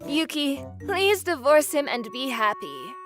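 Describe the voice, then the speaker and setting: A woman plays an older, kindly mother-in-law in a manga dub. Her voice is high, with music underneath. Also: big pitch swings, a little slow. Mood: sorrowful, gentle.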